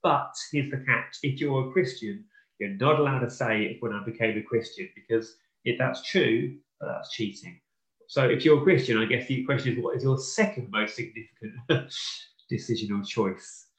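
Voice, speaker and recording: A man's voice: mid-range voice, normal speed, fairly bright tone. A man with a stuffy, slightly blocked nose chats easily as a podcast co-host. He is self-deprecating and playful. The room around him sounds roomy and echoing. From Norfolk, England. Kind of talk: casual live podcast chat.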